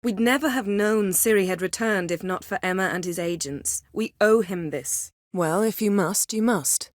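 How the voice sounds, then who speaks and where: An actress playing a proud, sharp-tongued, guarded sorceress in a video game, speaking in a cool, clipped, dryly sardonic way. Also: female voice, medium pitch, normal speed, no echo, clean studio sound, natural voice. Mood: measured, serious. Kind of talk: scripted game dialogue acting.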